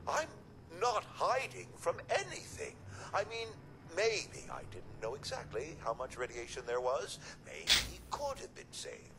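An actor playing an anxious, unctuous robot doctor in a video game, speaking formally and hesitantly with theatrical delivery. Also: male voice, fairly high pitch, very animated, a little slow, vocal fry, full character voice. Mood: nervous. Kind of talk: video game character acting. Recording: game audio with loud ambient noise.

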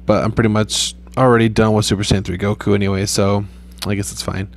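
A young man casually talking over his mobile gameplay in a rambling, laid-back way, with a flat, low-key voice and loose swearing. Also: quick pace, a little hiss or hum. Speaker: gaming YouTuber.

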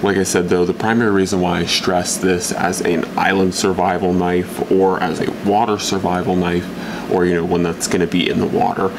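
A man's voice: low-pitched, flat delivery, normal speed, gravelly, background noise. A young man casually reviewing a knife at his workbench, rambling with fillers and restarts. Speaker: outdoor gear reviewer. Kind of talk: casual gear review talk. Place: indoors at a workbench.

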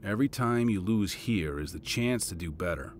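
A man voicing a military father in an audio drama, measured, fatherly and encouraging in manner. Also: on the low side, expressive, a little hiss or hum, lightly acted. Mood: firm, encouraging. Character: gruff but fond military father.

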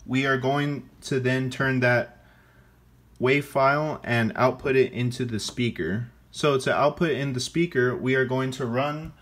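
A young man gives a product demonstration as a student presenter. He speaks slowly, with halting, deliberate phrasing, in a mid-range voice. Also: mid-range voice, a bit bright. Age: young adult.

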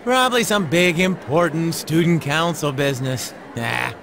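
A man voicing a slangy, easygoing but emotional teenage high school boy in a video game. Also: moderate pace, creaky, background noise. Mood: dismissive. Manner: casual, slangy. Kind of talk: scripted game voice acting.

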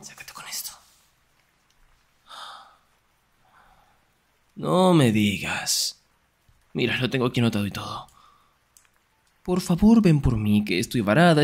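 A man acting a young, sarcastic and jealous best friend in an ASMR roleplay, teasing in a breathy voice that often drops toward a whisper. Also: medium pitch, heavily acted. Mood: sarcastic, exasperated.

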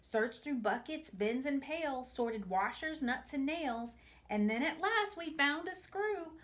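Slow-paced woman reading aloud to children. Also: high voice. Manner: expressive, deliberate, addressing young listeners. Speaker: storytime reader for a museum family programme. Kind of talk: read-aloud picture-book narration with asides to children. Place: small home room, indoors.

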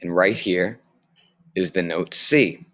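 A young man with a low voice explains music basics slowly and clearly, teaching a recorded online lesson from a small room on his webcam mic. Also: a bit bright.

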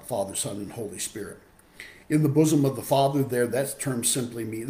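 A man in his sixties with a hoarse voice from a cold, teaching an adult Bible class on a webcam and reading scripture aloud. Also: lowish voice, reverberant, slight background noise. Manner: explanatory, reads scripture aloud. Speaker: Sunday school teacher. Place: home room with window. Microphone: webcam video call.

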